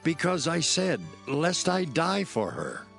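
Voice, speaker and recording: A man's voice: a little high, wildly swooping pitch, slow, creaky, a bit bright, faint music behind, full character voice. A man playing an earnest, wary patriarch in a dramatized scripture reading, speaking with emphasis. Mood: fearful, defensive.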